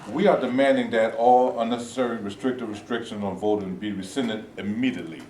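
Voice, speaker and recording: An actor in a staged reading playing a formal, deliberate activist spokesman. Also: on the low side, fairly even intonation, a little mellow, recorded from a distance, close to an everyday voice. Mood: firm. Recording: echoey room, voice from afar, faint hiss.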